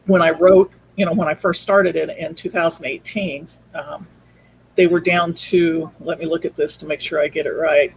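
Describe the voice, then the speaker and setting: A woman with a gravelly, creaky voice tells her story as a podcast guest. Her delivery is casual and conversational, and there is faint noise behind her. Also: sits low, fairly even intonation, normal speed, poor audio. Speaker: podcast guest, audio drama producer.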